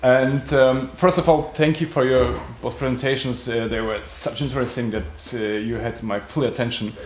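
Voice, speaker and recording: A man's voice: medium pitch, fairly even intonation, normal speed, slight background noise. Young man asking politely in a hall. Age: young adult. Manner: polite, hesitant with many fillers. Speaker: law student asking a question. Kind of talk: audience question at a seminar.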